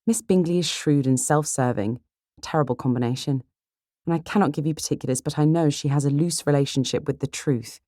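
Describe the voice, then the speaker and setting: A woman voicing a witty, candid, level-headed young Regency heroine in an audiobook, poised and measured. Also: low voice, mellow, no echo, clean studio sound, voice pushed up, close to an everyday voice.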